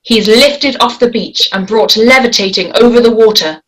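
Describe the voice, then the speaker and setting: A woman's voice: fairly high pitch, moderate pace, a bit bright, rough recording. A woman reading stage directions as scripted narration for a screenplay.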